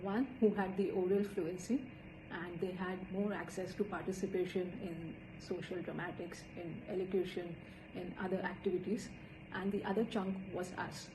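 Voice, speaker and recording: Indian woman in her 30s, earnest measured telling, roomy echo, hissy laptop mic. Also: fairly low pitch, steady tone. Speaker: student presenter. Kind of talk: personal storytelling. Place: plain room indoors. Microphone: laptop camera at desk. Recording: echoey room with clear hiss.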